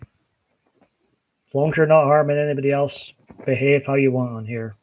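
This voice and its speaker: A Scottish man chatting easily on a livestream, reading out viewers' comments and replying in a relaxed, rambling way. His pitch sits in an ordinary middle range. He often trails off and restarts his sentences. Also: normal speed, rough recording. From Scotland. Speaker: livestream host.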